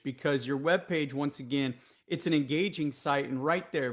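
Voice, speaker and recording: A man with a Texas accent hosting a podcast interview, asking long conversational questions. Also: fairly high pitch. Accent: Texan. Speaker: podcast host.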